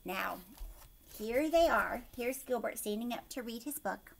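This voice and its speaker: A woman teacher reading a picture book aloud to children, expressively, pausing to explain and ask them questions. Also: on the high side, creaky, slight background noise. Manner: expressive, asks young listeners questions.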